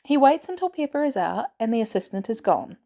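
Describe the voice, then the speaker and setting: A woman narrates fiction in a mellow, soft-edged tone. Her pitch moves in a lively way as she reads. The delivery is that of a scripted audiobook-style reading. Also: fairly quick, vocal fry. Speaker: podfic reader.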